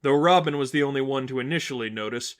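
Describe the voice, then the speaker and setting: Male narrator for dramatic fan fiction, medium pitch, scripted story delivery. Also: quick pace.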